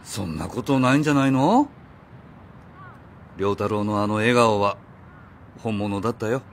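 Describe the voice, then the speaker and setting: A man acting in a Japanese radio drama as a kindly, easygoing middle-aged father who talks in a casual, familiar, gently teasing way. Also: fairly low pitch, expressive, normal speed, slight background noise, lightly acted. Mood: reassuring.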